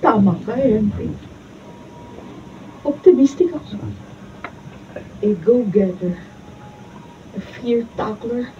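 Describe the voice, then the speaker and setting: A woman's voice: fairly high pitch, slow pace, pauses often. An actress playing a passionate, wronged woman in a Filipino radio drama, with intensely emotional, melodramatic delivery. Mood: wounded, melancholy. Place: radio drama recording studio. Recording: muffled, noisy, distant off-camera studio capture.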